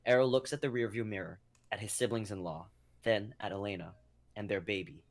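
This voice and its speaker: Young guy narrator, lowish voice, reading dramatic script. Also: fairly even intonation, a little fast. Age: young adult.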